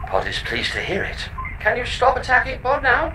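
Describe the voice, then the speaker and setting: An actor voicing a bickering, sardonic space-pod computer with a deadpan, sarcastic manner in a sci-fi audio comedy. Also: medium pitch, normal speed, noisy background. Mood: indignant. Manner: sarcastic, deadpan, third-person self-reference.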